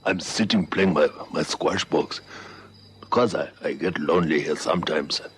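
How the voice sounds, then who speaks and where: An actor playing a lonely, shaken old night watchman, halting and respectful, in a vintage radio drama. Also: very deep voice, fairly even intonation, slight background noise. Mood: shaken, deferential. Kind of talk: 1960s-70s radio drama acting.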